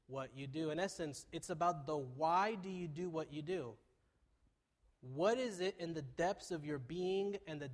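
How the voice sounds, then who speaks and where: A male pastor with a stuffy, nasal, congested voice delivers a sermon, mixing earnest preaching with self-deprecating jokes. His voice sits on the higher side for a man. The sound carries a long reverb from the church hall. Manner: conversational, self-deprecating humor. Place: church sanctuary.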